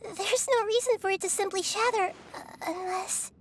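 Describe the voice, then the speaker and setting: A woman voicing a small, calm and wise young goddess who explains things gently. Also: extremely high pitch, normal speed, slight background noise. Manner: calm, soft-spoken and explanatory, sometimes whispery.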